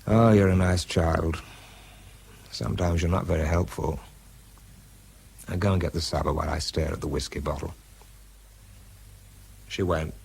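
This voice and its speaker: An actor playing a weary, wry wartime weapons researcher with a British accent, narrating and talking in a dry, understated way. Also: male voice, deep voice, fairly even intonation, moderate pace, creaky, long pauses, darkish tone, slight background noise. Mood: wry, affectionate. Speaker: lead actor and first-person narrator. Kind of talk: radio drama narration and dialogue.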